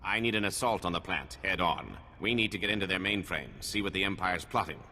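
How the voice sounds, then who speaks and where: Forceful, earnest resistance foreman, reverberant. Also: deep voice, a little fast. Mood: weary, urgent. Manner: urgent, businesslike, forceful. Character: earnest, weary male foreman leading a resistance. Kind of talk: scripted video game cutscene acting.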